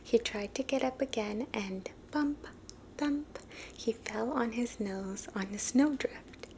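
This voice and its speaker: A woman whispers a children's picture book aloud in an ASMR style, soft and breathy with no full voice. Her pitch sits a little high and she reads very slowly, with a faint ambient hiss behind her. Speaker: storybook reader. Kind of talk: ASMR whispered storybook reading.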